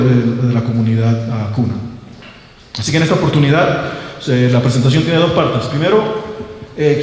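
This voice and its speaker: A man's voice: mid-range voice, a little mellow. A young man from Panama, a native English speaker who talks in Spanish, gives a hesitant academic seminar talk as a linguist, with many fillers and restarts. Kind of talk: academic presentation. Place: seminar room. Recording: echoey hall with long reverb.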